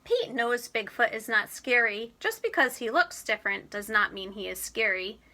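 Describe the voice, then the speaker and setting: A woman reading a children's storybook aloud in an expressive, deliberate storytelling manner. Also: fairly high pitch. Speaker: storybook reader.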